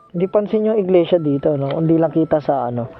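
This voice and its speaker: A man's voice: on the high side, expressive, moderate pace, gravelly, music in the background. A Filipino man chatting casually and teasingly with a passenger as a motorcycle taxi rider, speaking politely through a helmet intercom on the road. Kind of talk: casual joking chat with passenger. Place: outdoors riding a motorcycle in traffic. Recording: muffled intercom mic, loud road noise. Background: loud vehicle and street noise.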